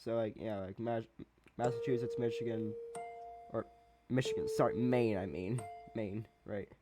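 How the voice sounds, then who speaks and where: A man giving casual, rambling reaction commentary as a video host, often halting and repeating himself. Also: on the low side, steady tone, over loud music.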